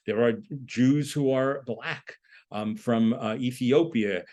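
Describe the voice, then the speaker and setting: A man lecturing in an explanatory, emphatic manner, posing rhetorical questions as he goes. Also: mid-range voice. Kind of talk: lecture on a political topic.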